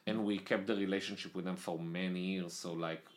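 A man's voice: deep voice, fairly even intonation, a little fast. A young man with an Israeli accent, talking casually as an interview guest, hesitant and full of "like" fillers, with slightly non-native grammar. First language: Italian, then Hebrew. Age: young adult. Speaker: podcast guest.

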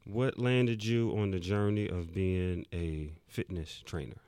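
A man's voice: low voice, steady tone, a little slow, warm tone, smooth, no room sound. A man hosting a radio interview show, chatting casually and hyping up his listeners with slang. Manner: hypes the audience with slang.

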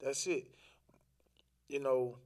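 A man's voice: medium pitch, slow. Casual, slangy man telling a personal story. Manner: animated retelling with quoted dialogue, repeats phrases for emphasis; informal, slangy, profane. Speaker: podcast guest.

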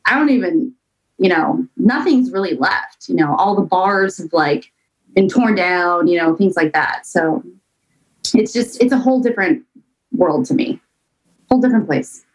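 A woman's voice: lowish voice, expressive, normal speed, rough recording. An American woman from the Seattle area chatting casually as a guest, rambling and hedging as she goes. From suburb of Seattle, US. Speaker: band singer and guitarist, interview guest. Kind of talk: casual chat.

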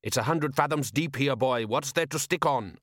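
A man voicing a gruff, hot-tempered middle-aged fisherman father in an audiobook, blustering and snapping in rustic speech. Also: mid-range voice, normal speed, a bit bright, no echo, voice pushed up, natural voice. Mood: urgent, snapping.

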